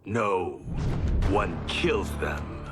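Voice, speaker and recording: Menacing killer, fighting game, deep voice, slow, terse, confident, loud music behind. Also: male voice, lively intonation, exaggerated voice. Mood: coldly menacing. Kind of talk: cinematic fantasy game acting.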